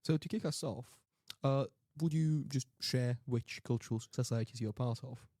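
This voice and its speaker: A man's voice: medium pitch, moderate pace, dark tone, dry recording, studio quality. A young man hosting a student podcast, reading scripted links and asking questions with some hesitant restarts. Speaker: podcast host.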